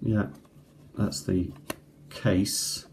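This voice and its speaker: Casual middle-aged male reviewer, lowish pitch, rambling and unhurried, faint noise and clicks on a tablet mic. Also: steady tone, creaky. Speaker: amateur cassette tape reviewer. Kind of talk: casual unscripted hands-on review. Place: indoors at a kitchen table. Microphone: tablet's built-in mic at close range. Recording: noisy home tablet recording with clicks.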